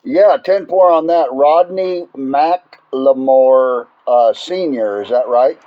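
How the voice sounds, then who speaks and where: A man's voice: medium pitch, slow pace, a little hiss or hum. An American man talks casually over the radio like a hobby operator. His delivery is folksy and rambling, with plain everyday phrasing. The sound is a little dull and lo-fi. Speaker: amateur radio operator, channel owner recording contacts.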